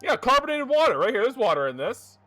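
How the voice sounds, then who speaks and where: A man's voice: extremely high pitch, quick pace, soft background music. A young man streaming a game, cracking jokes and laughing at the dialogue. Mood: joking. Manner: joking, animated reactions, laughs a lot. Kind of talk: casual commentary while gaming.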